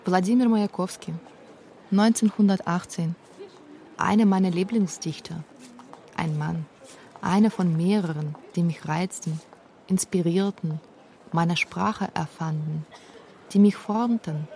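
A woman's voice: on the low side, measured pace, pauses often, mellow, slight background noise. A woman reading scripted narration for a radio feature as its author and narrator. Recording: music behind the voice.

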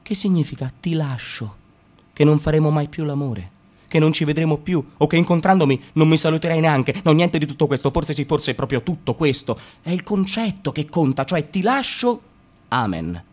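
This is a man with a slightly high voice, acting out an Italian-style radio theatre piece. He is sarcastic and lurching, jumping between loud jabs and low mutters. When he narrates, he slows into a deliberate storytelling tone. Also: lively intonation. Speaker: stage reader.

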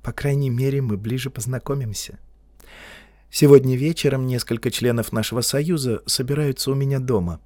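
A man voicing a poised, proud woman near forty who speaks softly with a lightly mocking edge. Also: medium pitch, brisk, dry recording, clean studio sound, natural voice. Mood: teasing.

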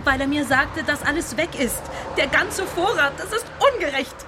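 A high voice of an actress as an aggrieved female patient in a radio drama, demanding and snappish. She, and faint ambient noise sits behind her. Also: normal speed, lightly acted. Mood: indignant. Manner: indignant, snappish.

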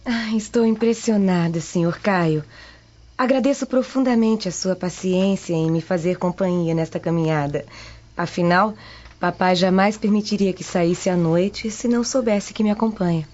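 A woman's voice: on the low side, moderate pace, a little mellow, highs cut off, natural voice. An actress with a Brazilian accent playing a demure, bashful young Roman lady in a radio drama, polite and coy. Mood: impressed, grateful.

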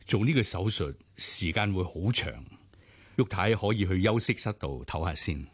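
Serious doctor man, deep n husky, measured, dry studio sound, radio play. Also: expressive, dry recording. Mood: grave, measured. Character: grave, measured male hospital doctor. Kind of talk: radio drama acting.

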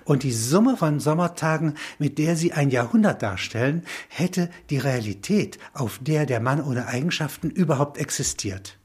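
A man in reflective intellectual conversation, musing in halting phrases punctuated with small affirming tags. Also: fairly high pitch, animated, a little mellow, husky. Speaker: interviewee, filmmaker.

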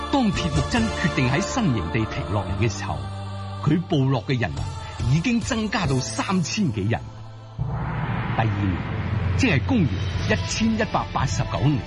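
A male storyteller narrates history in Cantonese with lively, dramatic intonation. His voice sits at an ordinary male pitch, and music plays loudly behind the scripted reading. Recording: loud music under narration.

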